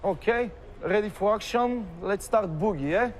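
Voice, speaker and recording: A man's voice: high voice, normal speed, background noise. A man from Bulgaria with a Bulgarian accent, talking unscripted as a cleaning crew supervisor. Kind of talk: unscripted talk for a documentary.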